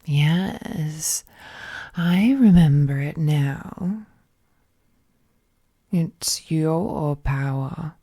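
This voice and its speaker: A woman playing an ancient, all-powerful female captor, possessive and lovesick, in an intimate villainous audio roleplay with a hushed, menacingly tender delivery. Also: low-pitched, full character voice. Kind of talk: scripted audio roleplay, intimate dramatic monologue.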